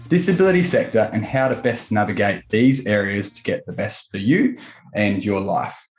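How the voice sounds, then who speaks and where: A man's voice: medium pitch, a little mellow. A man speaks as a podcast host in a mid-range voice. He moves between prepared introductions and conversational hosting. The recording is roomy and echoing. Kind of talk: scripted intro and roundtable hosting.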